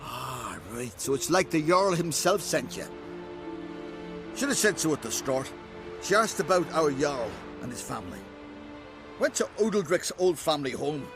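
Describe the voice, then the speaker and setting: A brash islander. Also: male voice, fairly high pitch, expressive, moderate pace, music in the background. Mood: grudging. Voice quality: brash, grumbling. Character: cocky foul-mouthed male islander, boastful and grudging. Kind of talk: video game dialogue.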